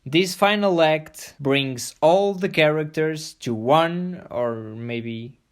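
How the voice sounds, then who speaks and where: A man's voice: fairly high pitch, takes it slowly, smooth. A man hosting a scripted video review, playful and full of jokey asides. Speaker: host of a review show.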